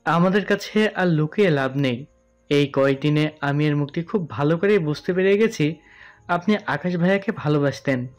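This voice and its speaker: A man's voice: fairly high pitch, normal speed, lightly acted. An actor playing a devoted, emotional young man in a Bengali romantic audio story. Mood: probing. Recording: music under the voice.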